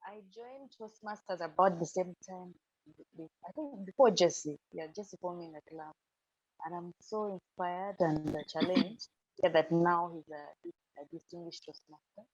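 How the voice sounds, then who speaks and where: A woman with a Ugandan accent and a husky voice from a cold, speaking haltingly on a video call. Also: lowish voice. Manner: halting, many fillers. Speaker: club member. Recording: dry, dull video call audio.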